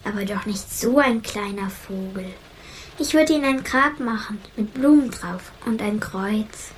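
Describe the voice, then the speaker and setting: A woman's voice: medium pitch, measured pace, lightly acted, poor audio. A young female performer playing a defiant girl who answers in short, stubborn retorts in a naturalistic children's radio play. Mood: defiant, wistful. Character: young girl attached to her lost pet bird, defiant. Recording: clear background ambience noise.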